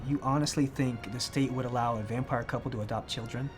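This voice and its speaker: A man's voice: mid-range voice, steady tone, fast talker, soft background music, close to an everyday voice. A man playing an anxious, sensible, conventional fiancé in a comedic short film, earnest and reasoning as he protests. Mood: exasperated pleading.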